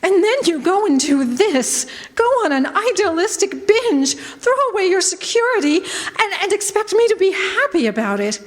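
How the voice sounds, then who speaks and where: A woman's voice: very high-pitched, very animated, moderate pace. A woman playing a fond but exasperated, practical sweetheart, speaking emotionally in an old-fashioned radio drama. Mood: reproachful. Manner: emotional, reproachful. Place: live performance on a college campus. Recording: reverberant hall stage recording.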